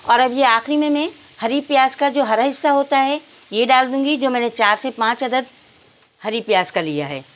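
A middle-aged woman with an Urdu accent calmly explaining a recipe step by step to viewers in a home kitchen. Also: high-pitched, normal speed, low-quality recording. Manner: calm, instructive, addresses viewers as nazreen. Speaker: cooking channel host. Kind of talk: step-by-step cooking instructions.

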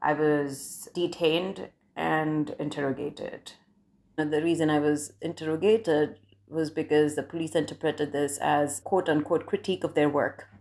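A young woman from India, a doctoral scholar, recounting a personal experience in a reflective, measured way with frequent restarts. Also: deep voice, steady tone, darkish tone.

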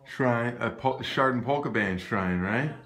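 A man's voice: mid-range voice, unhurried, fairly bright tone. A man in his thirties narrating a casual tour vlog into a phone camera, joking and using fillers. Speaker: band member vlogging a tour. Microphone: phone camera at arm's length. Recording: noisy handheld phone audio.

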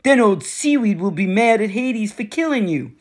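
A woman's voice: mid-range voice, animated, moderate pace, darkish tone, voice pushed up, natural voice. A woman voicing a smug, taunting war god. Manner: taunting, boastful. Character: smug, menacing adult male god of war. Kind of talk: audiobook character voice.

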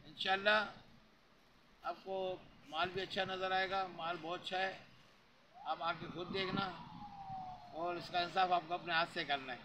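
Chatty high-voiced man in a noisy yard. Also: fairly even intonation, measured pace, long pauses. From Pakistan. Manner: chatty, repetitive, frequent religious interjections. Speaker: cattle farm vlogger. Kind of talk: casual selling pitch to camera. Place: outdoor cattle yard with brick walls. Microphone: handheld lavalier mic held near mouth. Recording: noisy outdoor phone recording.

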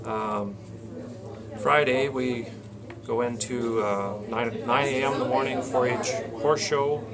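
A man in his forties reading out an event schedule as an interview guest, plain-spoken and halting. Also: medium pitch, fairly even intonation, a crowd in the background. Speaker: county fair board president, interview guest. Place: small indoor meeting room, seated at a table. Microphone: camcorder a short distance in front.

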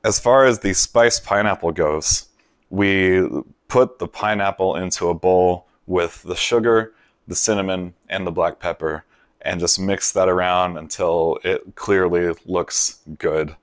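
A man hosting a cooking show, talking through a steak recipe step by step in a relaxed way. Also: low-pitched, a little slow, clean tone, no echo, studio quality. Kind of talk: voice-over cooking instructions. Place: kitchen in a vacation villa.

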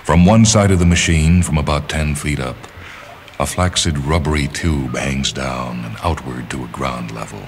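A man narrating literary fiction as a dramatic reading, in a measured, deliberate manner with pauses. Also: a bass voice, a little hiss or hum. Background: music.

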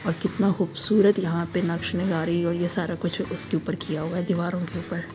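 A hoarse woman from Pakistan with a sore throat, narrating a casual, admiring walking tour for her vlog. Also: lowish voice, little pitch movement, moderate pace, music in the background. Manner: chatty, admiring, guiding viewers along. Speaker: travel vlogger.

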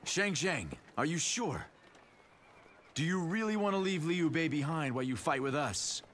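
Proud young game warlord male actor, bold, slightly high-pitched, noticeable background ambience. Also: normal speed, a bit bright, slight background noise, lightly acted. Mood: brotherly, confident. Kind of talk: English-dubbed video game cutscene acting.

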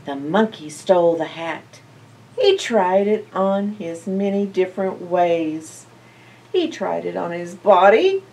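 An older woman reads a children's picture book in an expressive storyteller's manner. Her pitch swings widely and she takes her time. The microphone sits a little way off from her. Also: takes it slowly. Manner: expressive, asks listeners questions, animated reactions. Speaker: library storyteller. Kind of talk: read-aloud picture book for young children. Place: indoor library, seated by bookshelves. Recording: slightly distant microphone.

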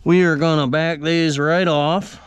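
Clear-voiced man explaining an engine repair. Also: on the high side, unhurried, no echo. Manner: relaxed, talks the viewer through each step. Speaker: mechanic restoring an old diesel engine. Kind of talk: casual step-by-step repair walkthrough.

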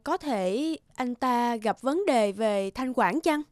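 A woman voicing a calm, friendly female lawyer in a dubbed cartoon. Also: a little high, takes it slowly, no room sound, studio quality. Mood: gentle. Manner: gentle, friendly.

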